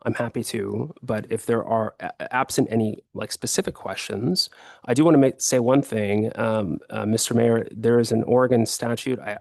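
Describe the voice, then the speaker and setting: A hoarse man, the city attorney, walks the council through a staff report, stopping to restart sentences and fill pauses. His voice is gravelly and creaky, and the recording is close and dry, with little room sound. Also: medium pitch, a little mellow, dry recording. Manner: explanatory, with frequent fillers and restarts.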